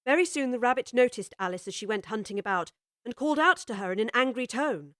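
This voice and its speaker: A woman narrating a classic children's story in a measured, gently expressive way. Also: on the high side, no room sound, clean studio sound.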